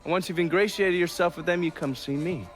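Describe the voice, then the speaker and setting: An actor playing a wry, foul-mouthed American intelligence operative, breezy and irreverent, in a video game cutscene. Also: male voice, sits high, fairly quick, music in the background, natural voice. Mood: wry, businesslike.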